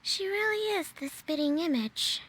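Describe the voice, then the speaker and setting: A woman voicing a gentle, polite, cheerful young noblewoman in a video game. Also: very high-pitched, animated, normal speed, heavy background noise. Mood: curious.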